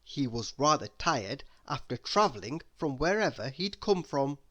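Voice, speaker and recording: A man reading a children's story aloud in an expressive, deliberate way. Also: fairly high pitch. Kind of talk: expressive scripted narration for children.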